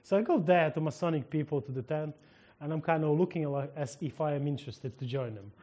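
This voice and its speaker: A man from Ukraine with a Ukrainian accent, a minister telling a lively testimony in a sermon, acting out the dialogue and laughing often. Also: fairly high pitch, a little fast.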